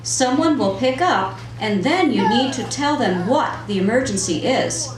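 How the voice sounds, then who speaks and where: A woman's voice: on the high side, expressive, measured pace. A woman voicing an upbeat, sensible female puppet who speaks simply and encouragingly to children in a live show. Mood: instructive. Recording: echoey room, distant microphone.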